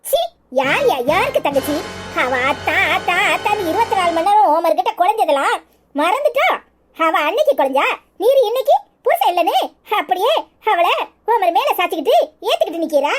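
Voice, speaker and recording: A woman playing a sharp-tongued, suspicious village wife in a melodrama, scolding and taunting in a southern Tamil Nadu accent. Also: very high-pitched, big pitch swings, fairly quick, music in the background. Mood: furious, accusing. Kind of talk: melodramatic village serial acting.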